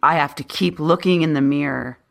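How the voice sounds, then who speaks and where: A podcast host with a low voice, talking earnestly and personally while interviewing a guest. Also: brisk, gravelly. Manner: earnest, personal, shares own story. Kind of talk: interview conversation.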